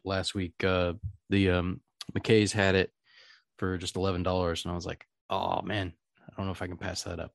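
A man's voice: deep voice, little pitch movement, moderate pace, gravelly, no room sound, studio quality. A middle-aged man hosting a podcast, chatting casually in a rambling, hesitant way. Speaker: podcast host. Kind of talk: casual chat.

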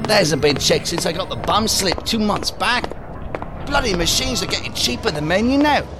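A man's voice: high-pitched, lively intonation, normal speed, music in the background. An actor in an audio drama playing a broke, foul-mouthed working-class British man who gripes in slang. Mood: bitter griping. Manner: slangy, griping.

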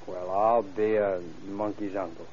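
A man's voice: low-pitched, fairly even intonation, a little slow. An actor playing a devoted, wisecracking young American man in a classic radio drama, with a folksy, exclamatory delivery. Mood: exasperated. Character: devoted, wisecracking young husband or sweetheart, easily flustered. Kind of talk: 1940s-style radio drama acting. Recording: muffled, very noisy old radio recording.

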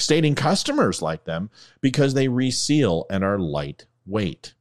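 A man reading out facts in a commentary video, adding offhand jokey asides and stumbling over foreign names. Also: medium pitch.

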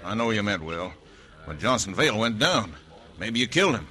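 A man's voice: lowish voice, sing-song, normal speed, sharp tone. An actor playing a middle-aged American ranch boss and father, reluctant and troubled by conscience, in an old radio western. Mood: uneasy.

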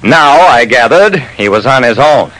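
A man's voice: medium pitch, animated, measured pace, heavy background noise. A man narrating a classic radio detective drama as its lead, in a wry, dramatic first-person storytelling manner.

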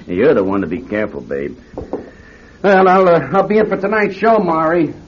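A man's voice: on the high side, big pitch swings, moderate pace. An actor playing a smug, needling vice squad policeman with a casual delivery in an old radio drama. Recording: muffled, faintly noisy old radio recording.

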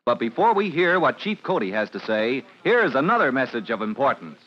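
A man's voice: mid-range voice, big pitch swings, brisk. A man with an American accent announcing and narrating an old radio drama in a declamatory, emphatic style. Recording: muffled old radio recording, faint noise.

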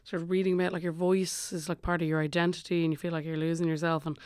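An Irish theatre performer and playwright chatting reflectively as a podcast guest, moving between soft and lively. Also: medium pitch, quick pace, clean tone, dry recording, studio quality. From Ireland. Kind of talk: casual podcast conversation.

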